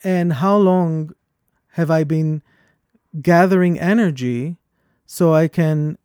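A man with a foreign accent co-hosting a podcast, talking in an earnest and reflective way that turns animated when he tells a story. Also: on the high side, takes it slowly, smooth, no echo, clean studio sound. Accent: foreign accent, origin unstated. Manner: earnest, thoughtful, animated when telling stories. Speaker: podcast co-host. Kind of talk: reflective conversation.

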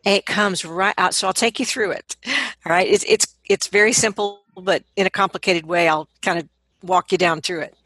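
A middle-aged woman, a vocal coach, explaining things conversationally as an interview guest, in an animated manner. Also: fairly low pitch, normal speed, breathy edge, no echo.

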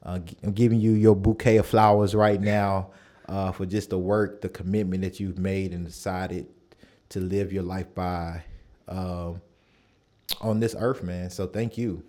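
Thirties guy hosting a podcast, mellow low voice, easygoing, lots of ums. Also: unhurried. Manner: relaxed and affirming, with many fillers. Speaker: podcast host. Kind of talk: casual conversational interview.

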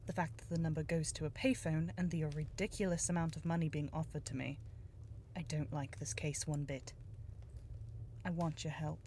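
Younger private eye, slightly low voice, cool, determined, persuasive, noir audio drama with faint background noise. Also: female voice, a little mellow. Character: determined, businesslike younger female private detective.

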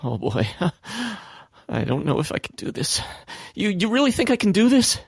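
A man's voice: high-pitched, very animated, a little slow, gravelly, husky, dry recording, studio quality, exaggerated voice. Man playing a nervous, hesitant teenage boy hero. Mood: nervous, doubtful. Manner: hesitant, stammering. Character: nervous, self-doubting American high school boy hero. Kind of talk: audio drama acting.

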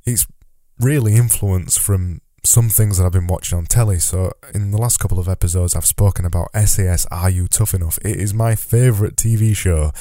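A man's voice: low-pitched, steady tone, a little fast, few pauses, dark tone, no room sound, studio quality. A man with a cold and a nasal, stuffy voice, hosting a solo podcast in a rambling, self-deprecating but motivational way. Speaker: podcast host.

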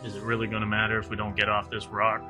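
A man's voice: lowish voice, completely flat delivery, fast talker. A man playing a tabletop game, bluntly speaking in character and describing actions matter-of-factly. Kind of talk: conversational role-play, describing actions. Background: music.

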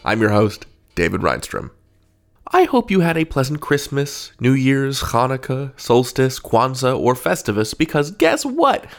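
Male interview host, highly varied pitch, animated and emphatic, conversational podcast delivery. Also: a little slow, gravelly. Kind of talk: hosted introduction and conversational interviewing.

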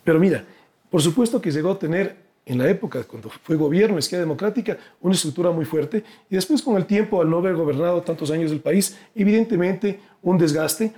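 High, mellow-voiced Ecuadorian male politician. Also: quick pace. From Guayaquil, Ecuador. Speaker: political candidate being interviewed. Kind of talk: persuasive political interview talk.

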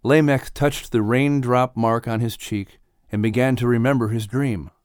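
A man reading a scripted audiobook story as its narrator, with expressive delivery. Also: medium pitch, moderate pace, clear, resonant tone, no echo, clean studio sound.

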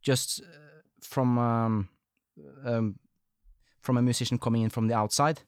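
A man hosts a conversational interview and works his way slowly toward each question. His talk is halting and full of fillers, and the recording sounds dry and close. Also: medium pitch, steady tone, smooth, no room sound. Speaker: podcast host.

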